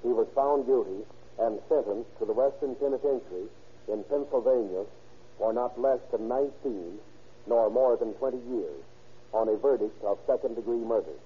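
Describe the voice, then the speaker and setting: A man narrating and announcing in formal, declamatory 1930s radio style, with a rough, grainy voice. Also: mid-range voice, moderate pace. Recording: muffled vintage 1930s radio recording.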